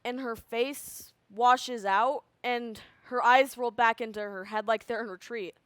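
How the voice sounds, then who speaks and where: Girl acting as a jittery school kid telling a story, high voice, emphatic, dramatic pauses, dry recording. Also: fairly even intonation, a bit bright, clean tone, dry recording. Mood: uneasy suspense. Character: animated, anxious school student recounting events. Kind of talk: theatrical monologue.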